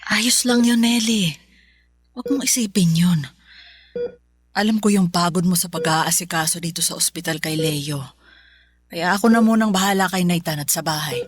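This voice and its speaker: A woman playing a steady, gentle older Filipino grandmother in a radio drama, reassuring in manner. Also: fairly low pitch, normal speed, long pauses, natural voice. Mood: comforting, steady. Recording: music bed behind.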